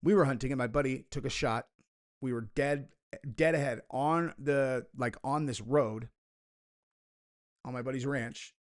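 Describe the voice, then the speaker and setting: A man's voice: mid-range voice, measured pace, long pauses. A young man talks through a reaction video in his ordinary mid-range voice. He rambles, drops in dry sarcastic asides, and leans on filler words like "like" and "you know". The tone is loose and conversational. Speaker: commentary video host. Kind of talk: casual reaction commentary.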